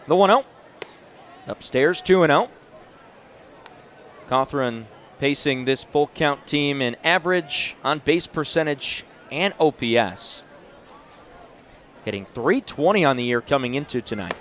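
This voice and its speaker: A man's voice: a little high, expressive, a little slow, pauses often, a bit bright. A man in his twenties doing live play-by-play sports commentary in short, punchy announcer phrases. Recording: noisy live venue sound.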